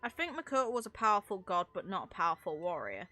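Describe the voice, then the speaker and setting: A woman game streamer giving casual, chatty gameplay commentary, thinking aloud in an excitable way. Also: medium pitch, quick pace, soft background music.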